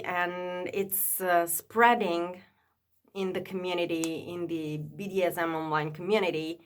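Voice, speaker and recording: A woman, chatting casually to a camera held up high, with many fillers and restarts. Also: a bit bright. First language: Italian. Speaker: vlogger.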